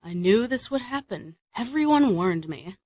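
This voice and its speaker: A woman's voice: a little high, lively intonation, normal speed, darkish tone, no echo. A woman playing a bold, teasing young lover in a modern radio drama, with a wry, impatient manner. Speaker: radio play actor.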